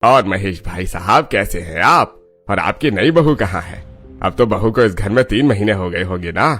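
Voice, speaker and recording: A man's voice: fairly low pitch, lively intonation, normal speed, a bit bright, soft background music. A man voicing a hearty, admiring middle-aged uncle visiting family in a cartoon story. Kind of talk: Hindi moral cartoon story dialogue.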